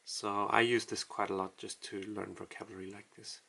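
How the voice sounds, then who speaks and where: Gravelly low-voiced man explaining casually. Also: flat delivery, bright, forceful tone. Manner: halting, self-deprecating explaining while writing. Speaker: language tutorial host. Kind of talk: casual tutorial walkthrough.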